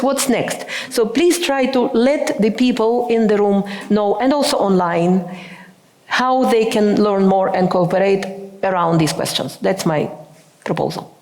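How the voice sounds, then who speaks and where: A woman moderating a conference panel, briskly keeping time and pushing for quick questions. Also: on the high side, darkish tone. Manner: brisk, keeps time, lightly joking. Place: conference room. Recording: echoey conference room.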